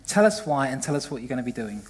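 A man's voice: mid-range voice, sing-song, quick pace, husky. A man leading a church service, interviewing a member of the congregation in a jokey, upbeat way. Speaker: church leader hosting.

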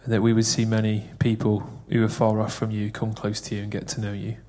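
A man's voice: lowish voice, completely flat delivery, a little fast, warm tone. A man praying aloud for someone in church, earnest, repeating phrases as he goes. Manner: earnest, repetitive prayer phrasing. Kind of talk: spoken prayer.

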